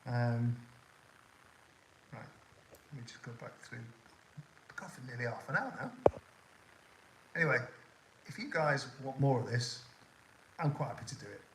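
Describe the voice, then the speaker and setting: A man's voice: mid-range voice, expressive, a little slow, long pauses, reverberant, very noisy. An older man with a toned-down northwest English accent from the Liverpool area hosts a casual live chat, rambling and stopping often to fill gaps with "um" as he answers viewer questions. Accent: toned-down Northwest English (Scouse). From Liverpool area, England. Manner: rambling and chatty with many ums and restarts. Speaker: livestream host.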